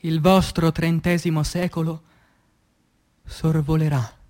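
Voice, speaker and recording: Man performing an anguished poet, high-pitched and slow. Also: little pitch movement. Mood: tender, wistful. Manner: declamatory, dramatic pauses, swinging from hushed to shouted. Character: anguished, passionate revolutionary poet pleading to live again. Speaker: stage actor. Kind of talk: theatrical poetry recitation, radio theatre.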